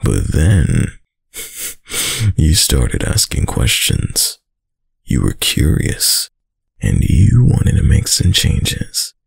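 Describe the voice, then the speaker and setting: A man playing a dominant, doting young mafia heir in an intimate boyfriend roleplay, with a very deep, gravelly voice and a slow, tough-guy delivery. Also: wildly swooping pitch, dry recording, clean studio sound, full character voice. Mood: teasing, admiring. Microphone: close, dry microphone.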